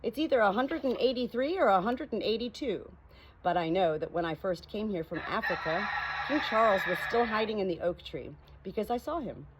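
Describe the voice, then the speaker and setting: Woman voicing an opinionated, lively old storybook parrot. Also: medium pitch, fairly quick, lightly acted. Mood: reminiscing. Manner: indignant, boastful, exclamatory. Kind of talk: audiobook character dialogue. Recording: clearly noisy home recording.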